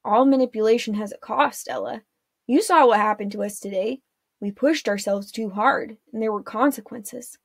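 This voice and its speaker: Woman playing calm teen warlock boy, dry and matter of fact, dry room. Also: on the high side, normal speed, vocal fry, no echo. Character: teenage boy warlock Mavik, calm and wry.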